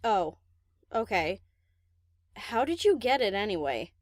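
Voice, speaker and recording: A woman's voice: mid-range voice, very animated, a little slow, no room sound, studio quality. A woman playing a brash, awkward young DJ pony in an audio drama, with an informal, blurting delivery. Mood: awkward, puzzled. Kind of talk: audio drama character acting.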